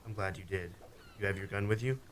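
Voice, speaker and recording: An actor playing a cold, quiet killer who taunts his victim in a community radio play. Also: lowish voice, fast talker, slight background noise, natural voice. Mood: calm menace. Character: cold, sly male killer, calm and menacing. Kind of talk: amateur radio drama acting.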